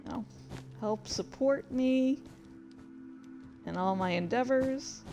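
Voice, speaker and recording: A woman's voice: a little high, slow pace, a little mellow, faint music behind. A woman hosting a casual livestream, rambling and narrating her own actions with exclamations as she plays a game. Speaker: livestreamer and host. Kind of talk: casual livestream chat while playing a game.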